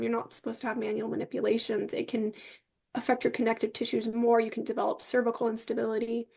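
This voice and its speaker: A woman's voice: fairly high pitch, gravelly, breathy edge. A woman speaking as an interview guest, telling her personal story in a candid, emphatic way.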